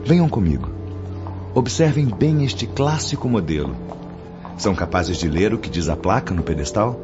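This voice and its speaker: An actor playing a calm, authoritative spirit mentor in a radio drama, speaking in a formal, didactic way. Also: male voice, lowish voice, moderate pace, close to an everyday voice. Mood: courteous. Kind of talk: radio drama acting, formal instructive speech. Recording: dull lo-fi audio. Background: background music.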